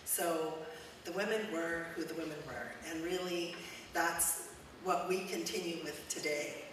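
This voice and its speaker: Loud-voiced woman in echoey church. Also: lowish voice, a little slow. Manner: warm, conversational, with frequent ums. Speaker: guest speaker, charity executive director. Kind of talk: informal talk to a church congregation. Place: church hall. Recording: echoey church hall, mic at a distance, noisy.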